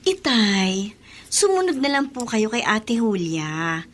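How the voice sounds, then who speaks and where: Casting: polite, gentle daughter, high lilting voice, pleading, radio play. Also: female voice, sing-song, slow pace, faint music behind, heavily acted. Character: gentle, respectful daughter pleading with her father. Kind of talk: radio drama acting.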